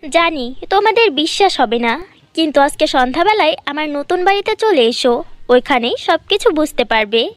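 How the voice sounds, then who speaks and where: A woman's voice: sits high, lively intonation, moderate pace, dry recording. A woman voicing a poor young girl in a cartoon, pleading and emotional. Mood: excited. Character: poor young girl, tearful then overjoyed.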